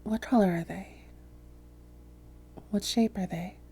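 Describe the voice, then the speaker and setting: A seductive female vampire, played by a woman, poses as a hypnotherapist in an ASMR roleplay. Her voice is very dark and breathy, with fry at the edges. She coaxes slowly and deliberately, often in a whisper, with smug control. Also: medium pitch, exaggerated voice. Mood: soothing, hypnotic. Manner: slow, coaxing, deliberate.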